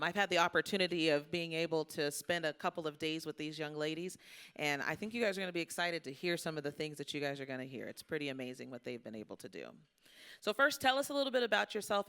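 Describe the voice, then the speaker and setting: Bright-voiced woman hosting a live panel. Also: low-pitched, lively intonation, brisk, smooth. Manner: encouraging, folksy, colloquial. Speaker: panel moderator. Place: indoor luncheon event before an audience. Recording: live event room with crowd.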